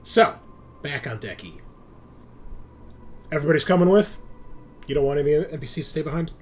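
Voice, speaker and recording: A man's voice: on the high side, animated, a little fast, a little hiss or hum. A man casually explaining things to players as game master of an online tabletop roleplaying game, in an informal way.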